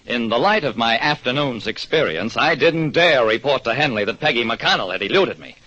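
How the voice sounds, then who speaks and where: A man's voice: medium pitch, lively intonation, normal speed, bright, forceful tone. An actor playing a hard-luck, wry, world-weary private detective who narrates his case in an old radio drama. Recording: dull, noisy old radio recording.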